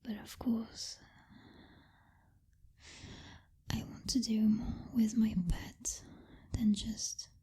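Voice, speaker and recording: A woman acts out a possessive vampire girlfriend in an intimate ASMR roleplay. Her voice is a dark, velvety whisper, very breathy and close to the mic. She speaks slowly, with lingering pauses and hums, bossy and teasing yet secretly tender. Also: on the high side, level tone, heavily acted. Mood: confiding, tender. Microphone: close-up microphone.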